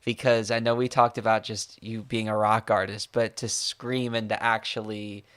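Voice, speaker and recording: A man hosting an interview over a call, chatty and supportive, thinking out loud as he asks questions. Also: fairly low pitch, flat delivery, normal speed, clear, resonant tone. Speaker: podcast host.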